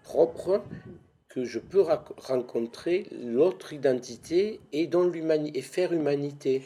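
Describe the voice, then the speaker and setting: Husky-voiced man thinking aloud. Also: a little high, takes it slowly, mellow. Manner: searching for words, many restarts, earnest. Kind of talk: casual conversational interview.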